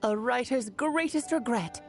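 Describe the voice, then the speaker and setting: A woman voicing a playful, motherly witch in a video game, with a breezy, theatrical manner and drawn-out emphasis. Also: fairly high pitch, darkish tone, soft background music. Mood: playful, coy. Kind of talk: animated video game dialogue acting.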